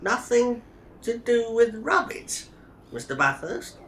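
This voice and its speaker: Man playing a bright, timid, deferential employee. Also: very high-pitched, very animated, takes it slowly, a little hiss or hum, voice pushed up, full character voice. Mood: earnest, suspicious. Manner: hesitant, deferential, earnest. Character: timid, naive young male zoo employee. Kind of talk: comic audio drama read-through.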